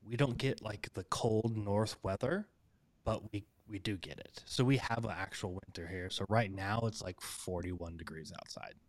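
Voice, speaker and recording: A man's voice: on the low side, normal speed, no echo. An American man hosting a casual interview, rambling with many fillers and frequent laughs. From United States. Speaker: podcast host.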